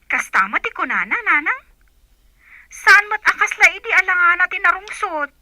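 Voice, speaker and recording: A woman's voice: very high voice, very animated, normal speed, bright, forceful tone, no room sound, heavily acted. A woman playing an earnest, questioning young village woman in a radio drama, with an Ilocano accent. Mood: eager, surprised.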